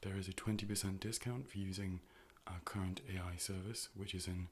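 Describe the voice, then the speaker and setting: A deep, nearly unvarying voice belongs to a polite artificial optician acted out by a man in an ASMR eye exam role play. Each phrase is measured, formal and clinical, delivered with calm robotic deadpan. A faint noise sits behind the voice. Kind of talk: ASMR medical role play, personal attention.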